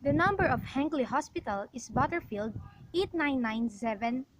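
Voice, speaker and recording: Radio play phone operator: courteous, methodical woman acting, high voice, formal delivery, slight background noise. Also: expressive, normal speed. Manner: formal, recites numbers methodically. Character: courteous, procedural female directory information operator.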